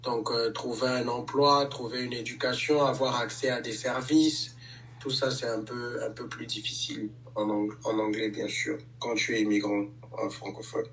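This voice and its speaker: Un homme, artiste de hip-hop, qui répond calmement à une interview, avec beaucoup d'hésitations. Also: male voice, medium pitch, far from the mic, rough recording. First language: French. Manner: measured, many hesitations. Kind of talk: casual interview answers.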